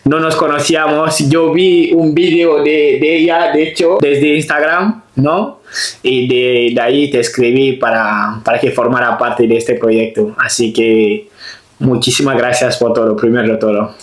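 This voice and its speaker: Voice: medium pitch, fairly even intonation, a little slow. A podcast host from Guinea interviewing a guest, speaking hesitantly with frequent repeated words. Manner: hesitant, repeats words, many thanks and acknowledgments. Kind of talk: casual interview hosting. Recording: echoey room.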